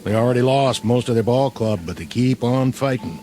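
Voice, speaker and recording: An actor playing a tough, weary army sergeant who talks in a gruff, sarcastic way. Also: male voice, mid-range voice, brisk, warm tone, slight background noise, lightly acted. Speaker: actor in a war drama. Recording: old TV soundtrack with music.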